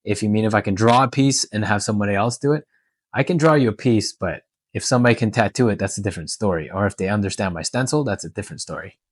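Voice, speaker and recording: Low-voiced man in a casual vlog. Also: fast, no echo, clean studio sound. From Canada and Hong Kong. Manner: candid, informal, reads questions aloud then answers. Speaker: tattoo artist and vlogger. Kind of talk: casual question-and-answer vlog.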